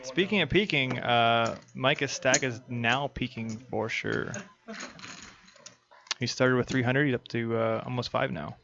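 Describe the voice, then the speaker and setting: A man calls live poker hands in a casual stream, trading teasing jokes with his co-host. His voice is mid-pitched and everyday. The feed is dull and lo-fi with a faint hiss. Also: measured pace, creaky, a bit bright. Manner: joking, teasing banter. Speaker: poker commentator.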